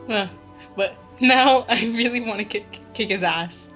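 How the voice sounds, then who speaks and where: A woman's voice: fairly high pitch, a little slow, a bit bright, soft background music. A young woman chatting and laughing with friends while reading a fan story aloud. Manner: laughing, bantering, swearing. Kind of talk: casual chat during a dramatic reading.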